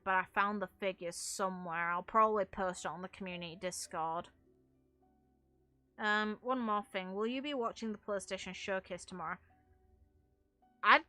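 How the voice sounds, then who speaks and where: A woman game streamer with a bright, forward voice talks casually to her viewers. She is opinionated and leans firmly on her points. The sound is close and dry, with music behind her. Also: medium pitch, dry recording. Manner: opinionated, emphatic. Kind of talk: casual livestream chat.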